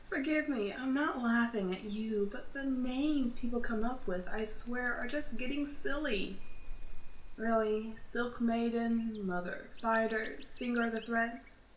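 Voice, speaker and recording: A woman voicing a gently teasing, motherly drider, a spider woman of the forest, in an ASMR roleplay. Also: fairly high pitch, slow. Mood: amused. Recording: roomy echo, audible background noise.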